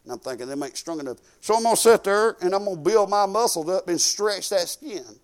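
A man in his fifties with a Southern US accent preaching informally, folksy and conversational, swinging emphatically between quiet asides and loud declarations. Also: high-pitched, expressive, brisk. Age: 50s. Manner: folksy and conversational, peppered with praise exclamations. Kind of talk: informal sermon with personal anecdotes and prayer.

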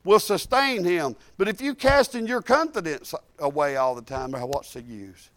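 A man in his late fifties preaching a sermon, animated, rising to loud exhortation and dropping to quiet asides. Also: fairly high pitch, moderate pace, no room sound. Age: 50s.

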